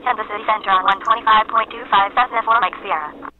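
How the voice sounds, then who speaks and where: A man voicing a brisk small-plane pilot in a flight simulator, with clipped readbacks through a radio filter. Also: high voice, fairly even intonation. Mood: brisk, routine. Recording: tinny noisy narrowband radio.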